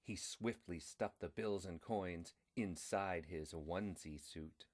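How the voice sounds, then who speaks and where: A man reading a children's story aloud in a deliberate, expressive way. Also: low voice.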